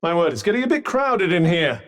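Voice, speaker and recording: A sardonic talking magic cuff from a video game, with a British accent and a fairly high-pitched voice. It gives urgent combat advice in eloquent, dryly witty phrasing. The voice sits a little away from the mic. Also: male voice, big pitch swings, brisk, fairly bright tone, far from the mic. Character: talking magic cuff, dry witty male battle companion. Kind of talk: video game companion voice lines.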